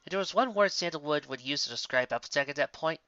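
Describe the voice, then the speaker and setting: A man's voice: fairly high pitch, expressive, quick pace, sharp tone, clear, resonant tone, no room sound. A man reading a story aloud as scripted narration. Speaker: story reader. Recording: dull, muffled, very dry.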